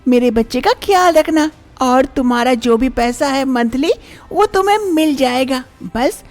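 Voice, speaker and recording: Kindly well-to-do young mistress, played by a woman, high-pitched and animated, for a cartoon moral tale. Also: normal speed. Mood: reassuring. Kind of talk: cartoon story voice acting.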